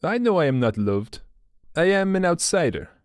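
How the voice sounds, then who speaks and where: A man's voice: a little high, big pitch swings, no room sound, clean studio sound, voice pushed up. Man putting on a suave old French enforcer. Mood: silkily menacing. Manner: courteous, measured, veiled threats. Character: older gray-haired French male enforcer, suave and menacing.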